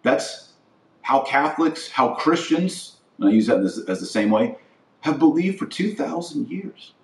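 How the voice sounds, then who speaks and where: An American man delivering a political monologue as a podcast host, emphatic and deliberate, stressing his phrases with pauses. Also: medium pitch, big pitch swings, creaky, a little mellow. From United States. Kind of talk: political platform monologue, speech-like. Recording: roomy echo, distant from mic.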